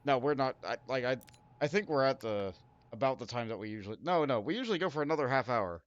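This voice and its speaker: A man's voice: medium pitch, animated, fast, muffled recording. A young man hosting a casual gaming stream, rambling and laughing often as he plays. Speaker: streamer host.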